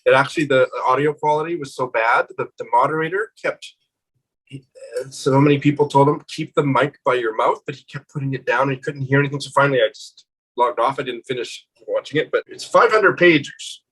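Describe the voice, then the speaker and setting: A middle-aged man with a husky, slightly breathy voice at an ordinary pitch for a man. He talks about books in a thoughtful, unhurried way, like a relaxed co-host. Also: low-quality recording. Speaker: booktuber co-host. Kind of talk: casual book chat.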